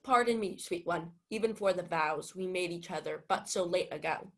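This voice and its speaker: A woman's voice: lowish voice, moderate pace, a bit bright. A young woman playing a gallant, tender young man, speaking earnestly in a Shakespeare radio play. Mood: apologetic, tender. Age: young adult. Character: gallant, tender young man, long-lost twin brother.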